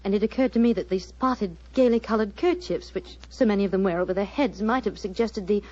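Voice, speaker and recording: A woman's voice: medium pitch, fairly quick, a little mellow, close to an everyday voice. An actress playing an anxious, genteel young lady with earnest, precise diction in 1940s radio style. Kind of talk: 1940s radio drama acting. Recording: muffled 1940s radio recording.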